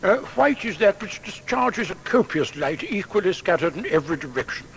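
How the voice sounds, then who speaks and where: An actor playing a hesitant, pedantic seventeenth-century scholar in a dramatised audio biography. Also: male voice, sits high, sing-song, normal speed, creaky, slight background noise, heavily acted. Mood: pedantic, declaiming. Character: Isaac Barrow, hesitant male 17th-century scholar and mentor.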